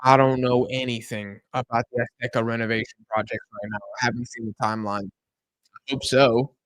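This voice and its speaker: Casting: young male streamer, tired and a bit hoarse, rambling chat, dry close sound. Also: mid-range voice, steady tone, a little fast, fairly bright tone, no room sound, poor audio. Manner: chatty, rambling, answers chat questions. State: tired, losing his voice. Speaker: live stream host. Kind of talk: casual live stream chat. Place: home room in Argentina.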